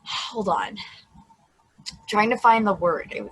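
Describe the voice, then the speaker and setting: Space probe, female, played by a woman: shy, awkward, earnest, hesitant delivery. Slightly rough tone, dry sound, table-read style. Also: medium pitch, lively intonation, slow, fairly bright tone, dry recording, exaggerated voice, low-quality recording.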